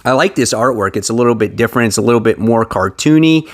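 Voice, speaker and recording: A man with an average-pitched voice speaks informally to his viewers. He sounds like an enthusiastic hobbyist host, giving relaxed show-and-tell commentary in a chatty, conversational way. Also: quick pace. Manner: enthusiastic, informal fan talk. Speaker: comic book review video host.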